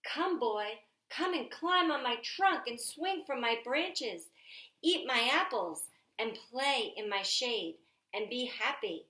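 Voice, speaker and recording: A woman voicing a loving, generous female apple tree from a children's storybook, gentle and eager to give. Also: on the high side, lively intonation, slow pace, full character voice. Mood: joyful, inviting. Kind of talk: read-aloud children's storybook. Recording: roomy, echoey home-style recording.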